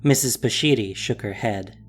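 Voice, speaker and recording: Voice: medium pitch, moderate pace, husky, faint music behind. A narrator reading a scripted fantasy story in a dramatic storytelling style.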